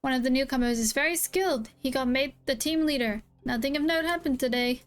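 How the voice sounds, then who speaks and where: High-voiced playful woman streamer. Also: brisk, over music. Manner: playful, reads on-screen text aloud. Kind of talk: casual game reaction.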